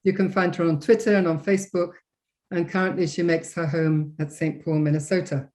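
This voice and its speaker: A woman's voice: lowish voice, fairly even intonation, fairly quick, darkish tone. A woman hosting an interview, welcoming viewers and introducing a guest with many hesitant fillers. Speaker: interview host.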